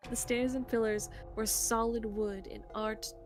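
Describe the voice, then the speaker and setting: A woman's voice: a little high, fairly even intonation, a little slow, soft background music. A woman co-hosting a visual novel stream, reading narration aloud with casual, sweary asides. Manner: informal, sweary.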